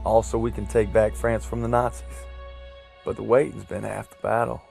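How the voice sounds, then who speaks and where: A man's voice: fairly low pitch, flat delivery, moderate pace, vocal fry, darkish tone, soft background music. An actor playing a young soldier narrating letters to his brother, plain and wry. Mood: wistful. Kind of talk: reflective voice-over. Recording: game score beneath the voice.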